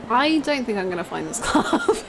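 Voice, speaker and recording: A woman in her twenties chatting casually as she livestreams a video game, with an expressive, giggly, exclamatory way of talking. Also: a little high, moderate pace, noisy background. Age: 20s. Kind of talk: casual livestream chat over gameplay, reading out comments. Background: video game music.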